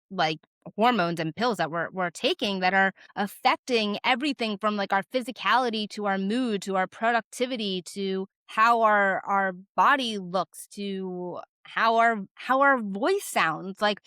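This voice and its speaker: A woman in her twenties hosting her own vlog, talking casually and rambling with lots of filler words and sudden lifts in pitch for emphasis. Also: dry recording, clean studio sound. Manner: animated, jumps up in pitch for emphasis. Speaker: vlogger host. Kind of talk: casual talk to camera.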